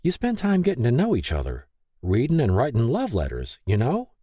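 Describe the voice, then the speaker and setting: Man doing a motherly woman, soft, reassuring, affectionate, raised mellow voice, storybook reading. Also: medium pitch, wildly swooping pitch, a little fast, breathy edge, dry recording, studio quality, pitched above the performer's own voice. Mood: gentle. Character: gentle, motherly older woman, kind host. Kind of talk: audiobook character reading.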